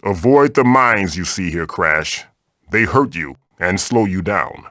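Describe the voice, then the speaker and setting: A man's voice: sits low, expressive, measured pace, pushed and bright, dry recording, clean studio sound, exaggerated voice. A man voicing an old magical mask, a bossy, wisecracking mentor guiding the player in a video game with theatrical, wry delivery. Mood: grave warning.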